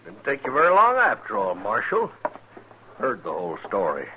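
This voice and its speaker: A man's voice: lowish voice, wildly swooping pitch, moderate pace, bright, forceful tone, full character voice. A man playing a brooding, grieving frontiersman with a pointed, accusing manner in a 1950s radio western. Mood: tense, accusing. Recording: dull, noisy 1950s radio recording.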